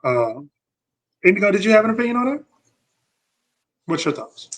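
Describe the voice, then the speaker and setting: A man's voice: sits high, sing-song, normal speed, a bit bright. An American man hosting a scripture study, talking reflectively and often searching for his words. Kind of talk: reflective Bible discussion.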